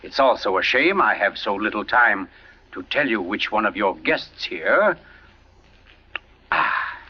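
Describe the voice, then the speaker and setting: Suave, theatrical crime writer, old radio. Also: male voice, lowish voice, animated, husky. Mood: suave, wry. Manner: suave, dramatic, savoring pauses. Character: urbane, theatrical male crime writer recounting a mystery. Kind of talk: 1940s radio acting. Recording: muffled vintage radio broadcast with music.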